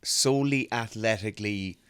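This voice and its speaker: A man co-hosting a strength sports show, giving measured, analytical breakdowns of lifting technique in a casual way. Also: on the low side, a bit bright, clear, resonant tone, no room sound. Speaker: co-host.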